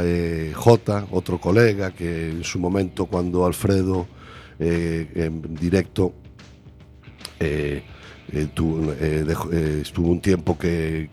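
A man with a Galician Spanish accent and a very deep voice speaks as the guest in a relaxed interview. He talks about his music haltingly, stopping to search for words and gently putting himself down. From Galicia, Spain. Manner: hesitant, self-deprecating, searching for words. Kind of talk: casual interview chat.

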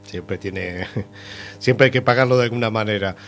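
A man's voice: fairly low pitch, normal speed, vocal fry, fairly bright tone, faint music behind. A man hosting a casual interview, chatting along and chiming in with short prompts. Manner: conversational, chimes in with short prompts. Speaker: radio host.

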